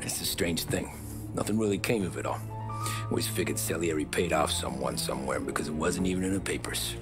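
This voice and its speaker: An actor playing a cocky young American mobster from the 1930s in a crime drama game. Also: male voice, a bass voice, a little fast, music in the background. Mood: wry, reflective. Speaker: video game character. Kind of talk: cinematic crime drama acting.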